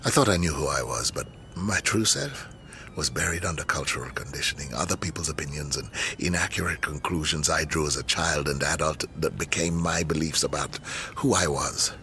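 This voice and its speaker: A man's voice: rumbling low, a little fast, bright, forceful tone, slight background noise, full character voice. A man acting in a radio drama as a proud, embattled middle-aged university lecturer, defensive and remorseful, with an intense, articulate, rhetorical delivery. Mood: remorseful, confessional.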